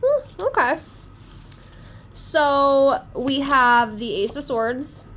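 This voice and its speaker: A woman's voice: high-pitched, sing-song, extremely slow, a bit bright. A woman with a congested, stuffy voice from a cold, chatting casually and rambling as she reads tarot cards. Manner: rambling, talkative, self-deprecating. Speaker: tarot reader.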